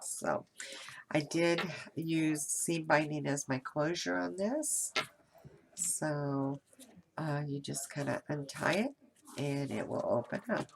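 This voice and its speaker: A woman's voice: low voice, slow, creaky, a little hiss or hum. A nasal woman from Kentucky with a head cold, chatting casually through a craft tutorial with lots of fillers and restarts. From Kentucky, USA. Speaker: craft video host.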